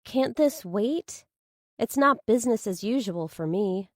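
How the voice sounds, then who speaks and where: Woman acting a blunt, incredulous bereaved sister, pitched up. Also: animated, a little slow, no echo, studio quality. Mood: shocked, pressing.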